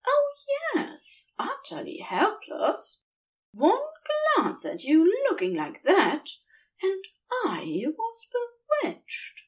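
A woman voicing a playful, affectionate man who coaxes and flatters in fond, teasing tones. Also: very high-pitched, very slow, recorded from a distance, pitched above the performer's own voice, exaggerated voice, poor audio.

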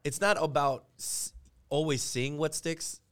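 Laid-back podcast host, dry sound. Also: male voice, fairly high pitch, fairly even intonation, measured pace, fairly bright tone, clear, resonant tone, no echo. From Georgia, US. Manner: laid-back, agreeable, reflective. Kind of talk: casual podcast conversation.

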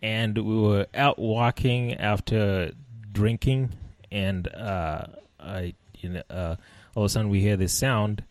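A man's voice: fairly low pitch, fairly even intonation, slow pace, few pauses, no echo. A man from Kenya, a guest telling a funny travel story in casual chat and laughing often.

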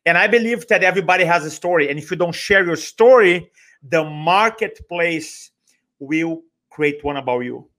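A man from Brazil with a Brazilian accent talks with energy in a podcast interview, his voice sitting a little high for a man. He doubles up his words for emphasis and acts out little bits of dialogue as he tells his story. Portuguese is his first language. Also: normal speed, a bit bright. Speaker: guest, speaker and trainer.

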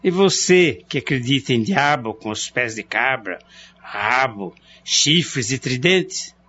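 Male actor as smug well-off husband, radio play, animated and mocking, a bit muffled. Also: mid-range voice, slow, husky, exaggerated voice. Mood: lecturing. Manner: lecturing, sarcastic, animated. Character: wealthy husband Noronha, middle-aged, argumentative and lecturing.

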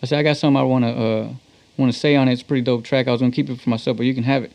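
A man's voice: mid-range voice, steady tone, racing, darkish tone. A man chatting casually and in slang as an interview guest, a musician by trade. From Texas. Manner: slangy, conversational. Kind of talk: casual chat.

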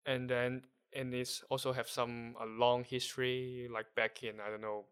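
A man from Hong Kong whose first language is Cantonese, speaking English with a Hong Kong accent as a guest, explaining things haltingly while searching for words. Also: medium pitch, level tone, a bit bright, smooth. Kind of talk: casual conversation.

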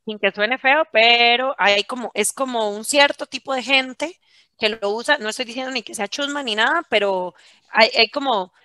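Bright-voiced Costa Rican woman. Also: fairly high pitch, normal speed, no room sound. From Costa Rica. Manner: animated storytelling, mimicking others' slang. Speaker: podcast co-host. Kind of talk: casual chat.

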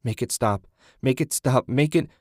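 A man's voice: medium pitch, very animated, normal speed, dry recording, clean studio sound, pitched above the performer's own voice, lightly acted. A man voicing a terrified, angry woman in a horror audiobook, frantic and exclaiming. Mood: terrified pleading. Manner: shouting, moaning, frantic. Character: terrified, angry woman stranded in wrecked car.

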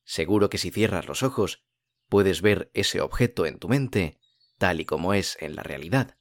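A man narrating a guided meditation as host, speaking in a calm, soothing, unhurried way with long pauses. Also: low voice, no room sound.

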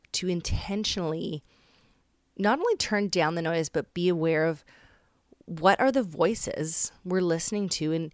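A woman in her thirties hosting a faith-based podcast, hoarse and raspy from a cold, talking in an earnest, reflective way with loose pauses and fillers. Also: on the low side, dry recording, studio quality. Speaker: podcast host.

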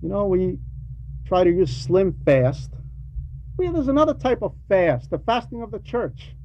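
Guy preaching a homily, mellow voice, kinda halting n explaining stuff, lo-fi audio w a bit of noise. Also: high voice, sing-song. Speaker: preacher reading a translated homily. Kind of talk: sermon with conversational asides. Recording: dull lo-fi recording with faint noise.

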